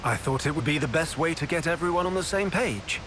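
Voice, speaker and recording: A man playing a gentle, thoughtful, mature male hero in a video game, speaking with sincerity. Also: fairly high pitch, lively intonation, fairly quick, noisy background, close to an everyday voice. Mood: sincere. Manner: considered, sincere. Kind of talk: video game cutscene acting.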